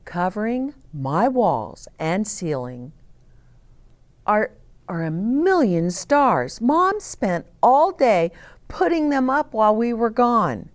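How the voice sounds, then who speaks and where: A woman's voice: mid-range voice, very animated, slow. A woman hosting story time for young children, reading aloud with dramatic emphasis and explaining things simply with questions to the listener. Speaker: children's storybook reader and host.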